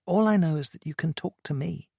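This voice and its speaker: A reader voicing a soft, pleading worried mother. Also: low voice, fairly quick, creaky, breathy edge, no room sound, clean studio sound, voice pushed up, exaggerated voice. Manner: quiet, gentle, coaxing.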